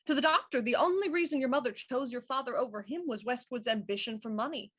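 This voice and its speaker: A woman playing a shrewd, self-assured Victorian police inspector, explaining deliberately and theatrically in a murder mystery audio drama. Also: fairly high pitch, a bit bright. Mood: confident deduction.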